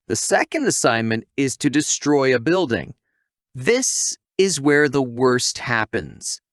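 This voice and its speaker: A man narrates a scripted anime recap at a leisurely pace. His pitch moves up and down in an animated way, and the sound is close and dry. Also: no room sound.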